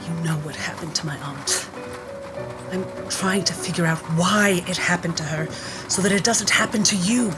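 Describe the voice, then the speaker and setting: A woman's voice: lowish voice, moderate pace, voice pushed down, lightly acted. A woman voicing a blunt older woman who is fed up with not being heard. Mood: pleading. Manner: exasperated, swearing. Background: music.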